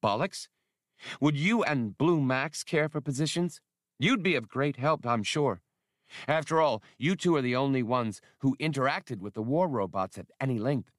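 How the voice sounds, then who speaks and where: A man's voice: mid-range voice, lively intonation, moderate pace, no echo, clean studio sound, voice pushed up, lightly acted. A man voicing an eager, fussy male alien academic with precise scholarly phrasing in an audiobook.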